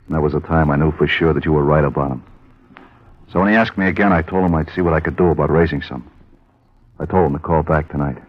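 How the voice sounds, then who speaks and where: A troubled, plain-spoken working man talks in a very low voice. He is halting and heavy-hearted, in an old radio drama with a dull, narrow sound. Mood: resigned, reluctant. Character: troubled working-class man, ashamed of his brother. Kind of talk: 1950s radio drama acting. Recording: dull vintage radio recording.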